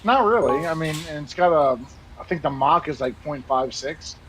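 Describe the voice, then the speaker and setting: A man's voice: on the high side, moderate pace, a crowd in the background, rough recording. A man with a Baltimore accent chatting casually and bluntly as a guest on a flight-sim stream. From United States. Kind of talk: casual chat. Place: voice chat on a game stream. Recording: steady background noise.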